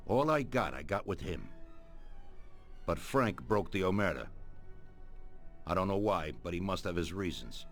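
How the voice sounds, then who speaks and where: An actor playing an ageing, ruthless American mafia don in a video game, speaking with deliberate authority. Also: male voice, low voice. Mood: regretful. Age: older. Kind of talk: dramatic video game voice acting. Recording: music behind the voice. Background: background music.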